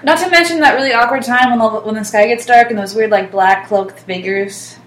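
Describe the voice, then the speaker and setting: A woman's voice: a little high, fairly quick, a bit bright. Young woman chatting in an echoey room. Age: young adult. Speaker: podcast co-host or guest. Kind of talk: casual chat. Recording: roomy echo, faint background noise.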